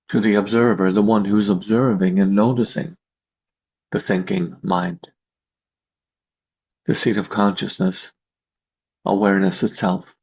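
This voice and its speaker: A man leading a guided meditation as host, giving slow, calm instructions in measured short phrases with long pauses, recorded over a video call. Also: fairly low pitch, slightly rough. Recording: dull lo-fi video call audio.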